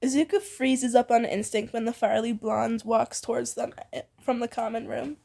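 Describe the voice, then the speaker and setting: A woman's voice: a little high, fairly even intonation, moderate pace, creaky, mellow. A woman co-narrating fan fiction in an informal, playful storytelling read. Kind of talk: expressive fan fiction reading.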